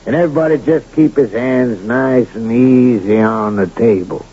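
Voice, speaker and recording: A man's voice: medium pitch, normal speed, loud music behind the voice, natural voice. Man acting a domineering crooked Western town boss, muffled recording. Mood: bossy, impatient. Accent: American. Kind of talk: old-time radio drama acting. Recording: muffled, noisy old radio recording.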